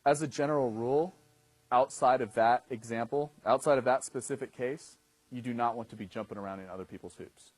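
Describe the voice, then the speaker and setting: A man's voice: mid-range voice, fairly quick, dry recording. A man in his thirties teaching a class in a conversational way, often checking in with his listeners as he explains. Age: 30s. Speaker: dating coach instructor. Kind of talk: instructional talk to a class.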